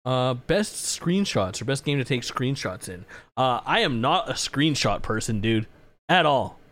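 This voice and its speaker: A man's voice: mid-range voice, big pitch swings, unhurried, fairly bright tone. A man in his twenties co-hosting a gaming podcast, chatting casually with plenty of swearing and easy laughter. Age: 20s. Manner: laid-back, swears often, laughs easily. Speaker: podcast co-host. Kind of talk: casual chat.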